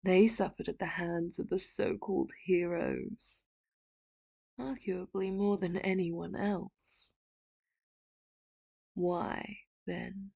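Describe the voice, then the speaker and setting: A woman voicing a possessive, doting female villain in an audio roleplay, with a soft, intimate voice that slips into whispers and sweetly manipulative talk broken by quiet laughs. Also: mid-range voice, vocal fry, slightly rough, heavily acted. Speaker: ASMR roleplay voice actor. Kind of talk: audio roleplay, addressing the listener.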